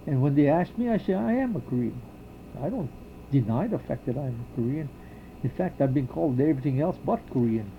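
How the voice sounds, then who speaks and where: An older man from Hawaii, speaking slowly and haltingly with many pauses and restarts, as he answers questions in an oral history interview. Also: medium pitch, animated, phone-quality sound, slight background noise.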